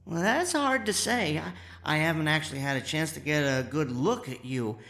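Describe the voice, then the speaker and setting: A man playing an excitable, kindly amateur inventor in a radio drama, stammering and folksy. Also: fairly high pitch, normal speed, gravelly, soft background music. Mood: awkward. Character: excitable, kindly amateur inventor, male former teleportation engineer.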